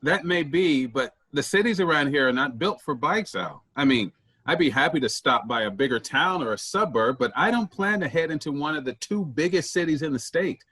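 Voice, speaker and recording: A cautious, reasonable troupe member played by a man, husky and fairly high for a male voice. The delivery is that of a staged play reading. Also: animated, moderate pace, bright, forceful tone, heavily acted.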